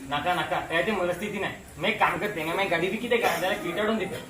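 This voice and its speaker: Guy doing struggling dad in a stage play, emotional, talks fast, echoey hall with loud crowd noise. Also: high-pitched, close to an everyday voice. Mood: defeated. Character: struggling, self-sacrificing father, exasperated and defeated. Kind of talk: amateur stage play acting. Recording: distant mic, echoey hall, noisy crowd.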